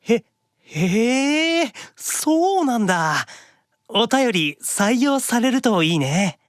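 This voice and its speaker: A man playing an anxious, excitable otaku demon brother in an anime audio drama, dithering theatrically. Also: extremely high pitch, slow pace, exaggerated voice. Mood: awkward, curious. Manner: dithering inner monologue with theatrical outbursts.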